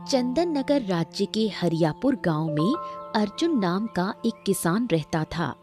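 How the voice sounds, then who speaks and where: Female storyteller for scripted tale narration, slow measured delivery over soft background music. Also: medium pitch.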